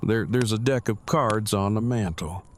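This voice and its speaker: A man's voice: lowish voice, unhurried, slight background noise, close to an everyday voice. A man playing an earnest, brainy, protective father in an audio drama, speaking in formal, wordy, precise sentences. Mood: gentle.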